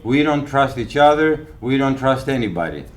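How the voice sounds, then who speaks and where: A man's voice: mid-range voice, lively intonation, moderate pace. This is a Greek-accented man who speaks English as a second language. He talks in a dry, joking way and mixes in a few laughs. A faint hum of background noise sits behind his voice. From Greece. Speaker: island cooperative member. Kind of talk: documentary interview.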